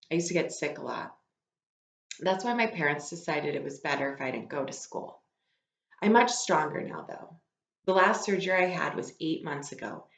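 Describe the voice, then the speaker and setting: A woman teacher reading a book aloud to a class in a conversational, explanatory way. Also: sits low. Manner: conversational, explanatory, poses questions to listeners. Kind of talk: read-aloud with asides to students. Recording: roomy echo.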